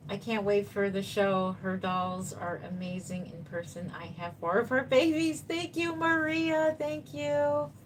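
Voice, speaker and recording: A woman's voice: mid-range voice, steady tone, measured pace, few pauses, slight background noise, low-quality recording. A woman in her fifties, a doll artist chatting casually on a live stream, reading viewer comments and answering them reassuringly while she paints. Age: 50s.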